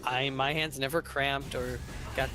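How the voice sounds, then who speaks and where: A man in his twenties co-hosting a game session, telling stories in a halting, meandering way. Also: mid-range voice, flat delivery. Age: 20s. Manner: halting, meandering, restarts often. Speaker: co-host. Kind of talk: casual chat.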